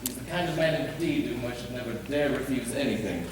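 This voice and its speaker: A man's voice: medium pitch, brisk, close to an everyday voice. A man on stage playing a doting, wry older father with an emphatic, theatrical delivery. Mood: earnest, concerned. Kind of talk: amateur stage play acting. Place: theatre stage before an audience. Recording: echoey hall, distant mic, audience noise.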